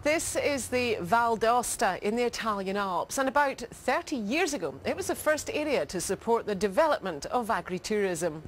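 A woman's voice: a little high, lively intonation, normal speed, few pauses, slight background noise. A middle-aged woman presenting a travel show, narrating in a polished, upbeat way. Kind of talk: scripted TV narration. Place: outdoors in an alpine village. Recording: music bed under voice.

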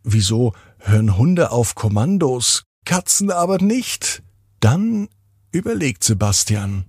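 A man hosting a children's podcast, narrating bedtime stories in a gentle, deliberate manner and addressing children directly. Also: mid-range voice, sing-song, breathy edge, no echo, clean studio sound.

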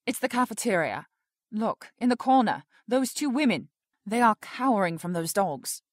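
An actress playing a prim, rule-bound research project director in an audio drama, formal and officious. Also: female voice, mid-range voice, lively intonation, moderate pace, no room sound, studio quality, natural voice. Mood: urgent, resolute.

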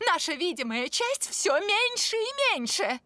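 High-pitched, dramatic cartoon wife. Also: female voice, sing-song, a little slow, pushed and bright, dry recording, full character voice. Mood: worried. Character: exasperated, dramatic wife and mother, adult woman. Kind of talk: animated cartoon acting.

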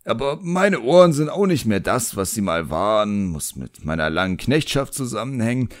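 A man's voice: medium pitch, very animated, moderate pace, no echo, studio quality, close to an everyday voice. A man voicing a cheeky, put-upon old imp servant who grumbles and stalls in slang in an audiobook. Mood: weary, grumbling.

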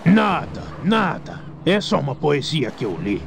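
A Brazilian man voicing a laid-back, gluttonous fat gangster in a video game fan dub, drawling slangy Portuguese with smooth excuses. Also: on the high side, big pitch swings, unhurried, heavily acted. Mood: evasive, easygoing. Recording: noisy game audio with vehicle sounds.

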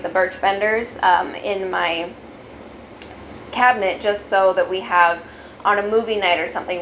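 A woman's voice: medium pitch, a bit bright, slight background noise. A young adult woman casually reviewing keto snacks at her dining table, chatty and explaining step by step. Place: dining room at home, indoors.

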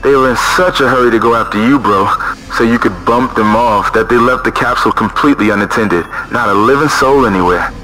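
A man's voice: medium pitch, brisk, close to an everyday voice, rough recording. A man voicing a brash, laid-back soldier buddy in a video game, with a casual, cocky, slangy delivery.

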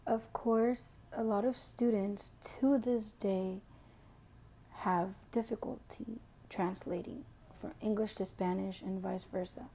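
A young woman with a Mexican background reading a prepared self-introduction as an education student, slowly and carefully. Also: mid-range voice, steady tone, mellow, husky, poor audio. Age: young adult. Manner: slow, careful reading, switching to Spanish for a passage.

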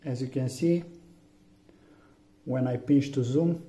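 A man from Romania with a Romanian accent, English not his first language, giving a halting phone tutorial with many fillers. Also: medium pitch, warm tone. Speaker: tech tutorial maker. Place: room indoors, phone on a table. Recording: echoey, dull lo-fi room.

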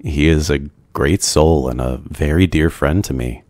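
A man voicing a brooding, lonely ancient vampire in an ASMR roleplay, gentle, courteous and soft-spoken, with hesitant pauses. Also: rumbling low, dry recording. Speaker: roleplay voice performer. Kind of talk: ASMR boyfriend roleplay, scripted.

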